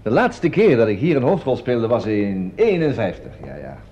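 An actor playing an effusive, vain veteran stage actor in a radio drama, gushing theatrically and repeating himself. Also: male voice, medium pitch, very animated, moderate pace, dark tone. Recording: dull, noisy old radio play recording.

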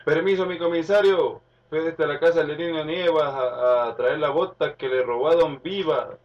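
A man's voice: fairly high pitch, moderate pace, pitched above the performer's own voice, close to an everyday voice. A man playing a dutiful rural policeman reporting formally to his superior in a radio drama. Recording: muffled, distant, music behind.

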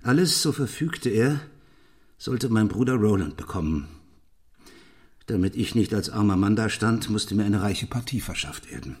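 Radio play role: brooding, embittered gentleman of middle years, deep-set voice, intense confessional delivery. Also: expressive. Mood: bitter, agitated. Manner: deliberate, intense storytelling. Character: bitter, brooding English gentleman confessing his past. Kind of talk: German radio play acting, dramatic monologue.

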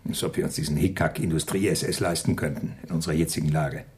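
An actor in a German radio drama playing a cold, scornful Nazi functionary with a husky, gravelly voice. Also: male voice, extremely low pitch, fairly even intonation, fast talker, darkish tone, exaggerated voice. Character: calculating male Nazi camp functionary, scornful, zealous, cold.

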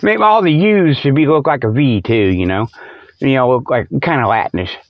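A man hosting a comedy radio show in a rambling, ad-libbed monologue with mock sales pitches, folksy and jokey, chuckling at himself. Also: animated, moderate pace, no echo, muffled recording.

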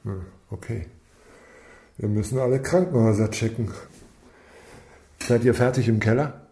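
A man playing a gruff, irritable lead investigator in a German radio crime drama, sarcastic and used to giving orders. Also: lowish voice, normal speed, mellow, natural voice. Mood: brisk, businesslike. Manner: sarcastic, authoritative.

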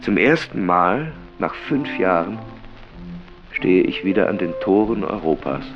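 An actor playing a sober, weary man home from war captivity, in a measured literary radio play monologue. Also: low-pitched, sing-song, music in the background. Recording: dull sound with clear background noise.

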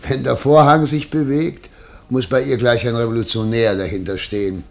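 A man's voice: mid-range voice, fairly even intonation, normal speed, vocal fry, darkish tone, close to an everyday voice. Man acting a jovial, teasing older governor. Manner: jocular, teasing, avuncular. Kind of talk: German radio play acting.

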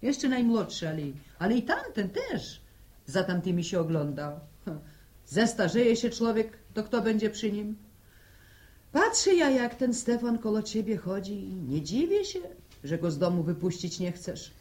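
A gossipy older village mother from a radio play. Her speech is very lively in pitch, swinging widely. She is voluble and folksy, often repeating herself. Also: a little slow. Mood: wistful, complaining. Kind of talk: radio drama acting.

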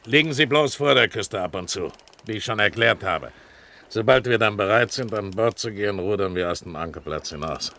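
An actor in a 1970s German radio play, playing a sly, domineering pirate captain with a commanding, mocking manner. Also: male voice, lowish voice, lively intonation, normal speed, pushed and bright. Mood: mocking, brisk. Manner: commanding, mocking, given to chuckling.

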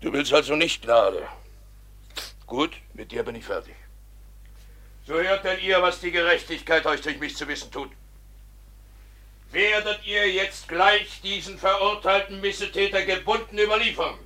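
An actor playing a pompous priest sent by the authorities, scolding and preaching in declamatory classical radio drama style. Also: male voice, high voice, moderate pace, long pauses, sharp tone. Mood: stern, condescending.

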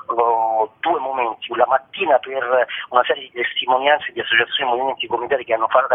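Husky man on a phone line. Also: mid-range voice. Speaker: cultural curator, interview guest. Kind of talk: explanatory radio interview answers. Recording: muffled, narrow telephone line.

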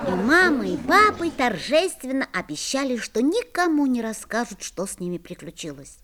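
A woman's voice: a little high, big pitch swings, normal speed, a crowd in the background. A woman voicing a young boy who earnestly narrates a storybook audio play. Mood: tender. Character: young boy narrating, friend of a forest spirit. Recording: faint music behind.

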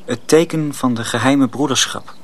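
A man's voice: medium pitch, normal speed, slight background noise, lightly acted. A male actor playing a timid, naive young apprentice boy in a radio drama. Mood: solemn.

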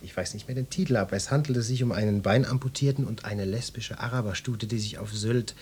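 A swaggering police detective in a radio drama, played by a male actor, with a lowish voice. He is brash and leering, barking orders and talking in pompous flourishes. There is clear noise on the recording. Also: a little fast, a little mellow, natural voice. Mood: interrogating, pompous. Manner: gruff, bossy, pompous flirtatious address. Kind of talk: German radio drama acting, parodic hard-boiled cop.

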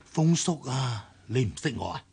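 A kindly older uncle in a Hong Kong radio play. His Cantonese is gravelly and rough-edged, at a medium pitch for a man. He fusses, soothes and insists like a worried father figure. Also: expressive, moderate pace, dark tone. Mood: concerned. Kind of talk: Cantonese radio drama acting.

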